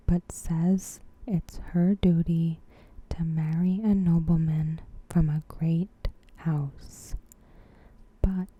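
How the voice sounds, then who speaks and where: Asmr lady whispering a recap, super slow, soft and breathy, kinda mellow. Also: sits low. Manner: gentle, hushed, measured. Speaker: ASMR host. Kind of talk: soft-spoken ASMR scripted recap narration.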